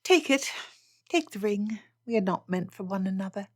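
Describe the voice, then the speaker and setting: A woman voicing a spirited, stubborn young gentlewoman in a period romance audiobook, speaking in emphatic, impassioned formal phrases. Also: mid-range voice, animated, normal speed, dry recording, clean studio sound, natural voice. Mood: agitated, alarmed.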